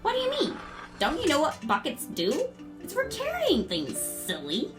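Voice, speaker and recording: A woman playing a brisk, confident, quirky girl in a family audio drama, breezy and matter-of-fact. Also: sits high, huge pitch swings, music in the background. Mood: puzzled, matter-of-fact.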